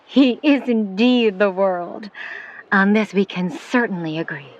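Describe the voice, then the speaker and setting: A woman playing a skeptical, worried middle-aged mother in a 1950s period audio drama, speaking in a proper, measured way. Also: mid-range voice, lightly acted. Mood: resigned, softening. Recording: dull, noisy recording with ambience.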